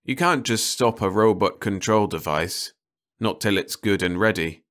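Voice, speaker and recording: A man's voice: lowish voice, unhurried, fairly bright tone. A man voicing a matter-of-fact husband in an audiobook, firm and explanatory. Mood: explaining. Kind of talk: audiobook character voice.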